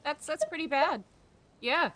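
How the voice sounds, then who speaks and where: A woman streaming a video game, chatting casually with halting, muttered asides and reactions. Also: a bit bright, rough recording. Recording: background noise with low rumble.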